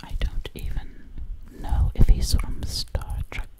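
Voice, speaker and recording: A woman whispering breathily and soothingly, close to the microphone in ASMR style, repeating words over and over. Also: takes it slowly. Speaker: ASMR creator.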